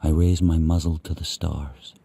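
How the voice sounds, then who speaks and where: Man as sled dog narrator, very low voice, grim, watchful, deliberate, radio drama, faint background noise. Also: flat delivery, creaky, mellow. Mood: hushed, bleak. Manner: spare, deliberate short sentences. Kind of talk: first-person dramatic narration in a radio play.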